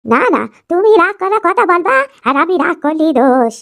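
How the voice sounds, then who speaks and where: A woman's voice: very high voice, sing-song, normal speed, creaky, a bit bright, full character voice. A woman voicing a boastful, scheming old village mother, drawling and scolding in rustic dialect for a cartoon. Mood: indignant, scolding.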